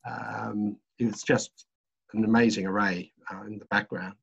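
A man's voice: lowish voice, level tone, measured pace, gravelly, husky. An older man with an Australian accent, giving a university webinar presentation from a home office over a video call, hesitant with many fillers. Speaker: university lecturer. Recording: dull lo-fi video call audio.

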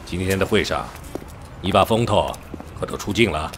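A man's voice: deep voice, animated, moderate pace, a little hiss or hum. A man playing a stern, cautious middle-aged police officer and father, admonishing and emphatic, in an audio drama. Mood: reproachful. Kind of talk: Chinese audio drama acting.

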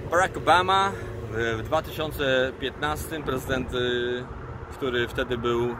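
A man in his forties, a tour guide, explaining history informally to camera in a halting way, with pauses mid-phrase. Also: medium pitch. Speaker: tour guide and travel vlogger. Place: outdoors by a road bridge. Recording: loud outdoor traffic noise. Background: passing cars and vehicles.

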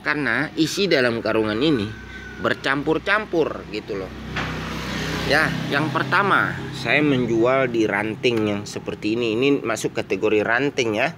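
A man's voice: medium pitch, animated, normal speed, noisy background. Slightly nasal man explaining his wares. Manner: chatty, explains step by step. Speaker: seller of stones. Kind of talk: casual selling demonstration. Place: outdoor yard, tiled floor. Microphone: handheld phone camera.

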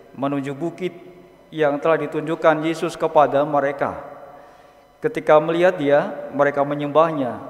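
Slow-spoken priest in an echoing church. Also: male voice, fairly high pitch. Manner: slow, measured delivery with long pauses between phrases. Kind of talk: sermon and gospel reading at Mass. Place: large church. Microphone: stand mic at a lectern. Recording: long church reverb.

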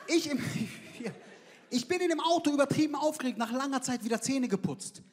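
Brash comic on a hall stage. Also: male voice, extremely high pitch, expressive, moderate pace. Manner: brash, slangy, punchy delivery. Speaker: stand-up comedian. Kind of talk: stand-up comedy set. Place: large theater stage with live audience. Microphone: handheld microphone. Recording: live hall with crowd reactions.

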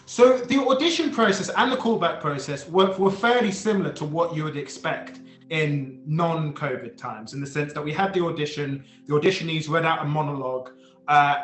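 High-pitched young man, echoey room. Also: normal speed, fairly bright tone, faint music behind. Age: 20s. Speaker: writer and director being interviewed. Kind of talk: thoughtful interview talk. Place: indoor room, video call. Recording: roomy, echoey video call.

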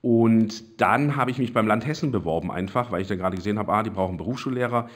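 A man from southwest Germany, a cooking teacher, telling his life story in a relaxed, rambling way. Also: on the low side, quick pace.

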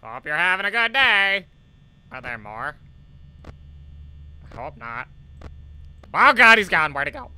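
A man voicing a nervous, excitable cartoon teacher commenting on a horror game, easily flustered and repeating his questions. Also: high-pitched, measured pace, pauses often, clean tone, no room sound, heavily acted. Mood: scared. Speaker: gameplay video host.

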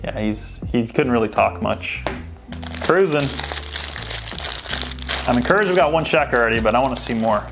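Gravelly-voiced man chatting through an unboxing. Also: mid-range voice, lively intonation, normal speed, pauses often, music in the background, poor audio. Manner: chatty, thinks aloud while sorting cards. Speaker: host opening sports card packs. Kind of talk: casual unboxing commentary.

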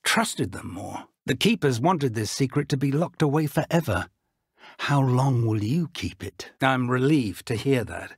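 An old British professor of wizardry in a video game. His mid-pitched voice is husky and worn, with formal, measured phrasing. He comes across as a kindly, earnest mentor, in a close, dry studio sound. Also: expressive, no room sound. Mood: grave, reflective. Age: older. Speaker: game character voice actor. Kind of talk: video game dialogue acting.

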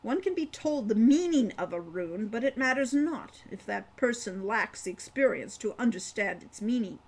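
A woman voicing a scornful, aloof, non-human female shopkeeper of magic in a storybook, condescending and measured. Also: fairly high pitch, animated, a little mellow, husky, poor audio. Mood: scornful, bored.

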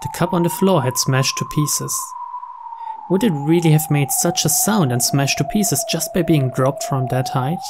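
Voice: mid-range voice, takes it slowly, noisy background. A narrator reading visual novel narration aloud.

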